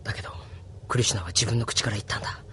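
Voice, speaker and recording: A man's voice: on the low side, very fast, fairly bright tone, slight background noise, natural voice. An actor playing a young male pilot, sulky and emotional over a lost love. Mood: sullen.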